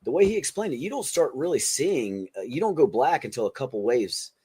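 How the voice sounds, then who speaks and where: A man with a slightly husky, unpolished voice at a middle pitch. He chats casually as a podcast co-host, leaning on slang and swearing freely. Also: big pitch swings, fairly quick, dark tone. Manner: slangy, profane. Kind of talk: casual banter.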